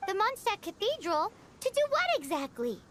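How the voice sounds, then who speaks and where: A woman voicing a tiny, childlike, curious and skeptical companion in a video game, squeaky and questioning. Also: sing-song, moderate pace, fairly bright tone, a little hiss or hum, exaggerated voice. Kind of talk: video game cutscene acting. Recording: music under the voice.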